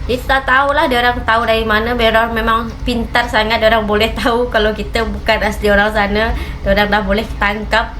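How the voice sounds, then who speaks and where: A woman's voice: on the high side, flat delivery, fast talker, hardly any pauses, a bit bright, a little hiss or hum. A woman from Malaysia with a Malaysian Malay accent, telling a travel story in a casual, chatty vlog, full of "okey" and "macam" fillers. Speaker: reaction channel vlogger.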